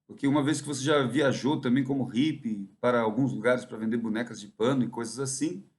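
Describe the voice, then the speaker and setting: Brazilian guy rambling to camera, musing, lots of pauses mid-sentence. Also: mid-range voice. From interior of São Paulo, Brazil. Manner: meandering, musing, often pausing mid-thought. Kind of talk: rambling reflective monologue.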